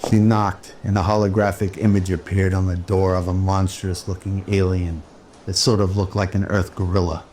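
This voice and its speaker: A man's voice: low voice, flat delivery, normal speed, background noise. A man reading scripted narration for an audio drama. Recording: music under the voice. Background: music.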